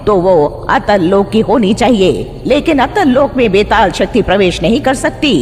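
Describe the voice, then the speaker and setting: A woman voicing a commanding, animated old witch. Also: mid-range voice, moderate pace, natural voice. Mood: pondering. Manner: commanding, threatening, assured. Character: stern, commanding old witch mother-in-law with spells. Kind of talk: Hindi horror cartoon dialogue. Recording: long hall reverb, background noise.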